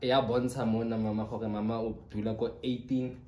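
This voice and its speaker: A man's voice: mid-range voice, level tone, a little fast, a little mellow. A South African man making a prank phone call as a company agent, speaking in an insistent, repetitive yet respectful way. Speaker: prank caller. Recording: roomy echo with background noise.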